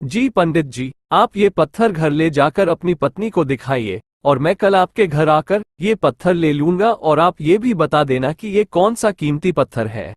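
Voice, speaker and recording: Cunning cartoon merchant male actor, sly and greedy, lively melodic delivery, close dry sound. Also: fairly high pitch, measured pace, hardly any pauses, no room sound. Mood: sly, polite. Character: greedy, cunning village merchant swindler, a man. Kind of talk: Hindi cartoon story dialogue.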